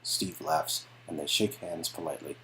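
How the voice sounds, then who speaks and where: A man's voice: a bass voice, normal speed, bright, forceful tone, slight background noise, low-quality recording. A man narrating an interactive story game as a playful dramatic read-aloud. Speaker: reader and narrator of a game's dialogue.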